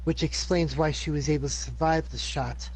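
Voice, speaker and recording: A man playing a tabletop game, speaking in a measured, polite way. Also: fairly high pitch, fairly even intonation, a little mellow, a little hiss or hum, rough recording. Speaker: player.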